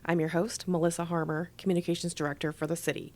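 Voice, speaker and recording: A woman's voice: on the low side, level tone, fast, darkish tone. A woman hosting a conversational podcast interview, asking questions as a communications director.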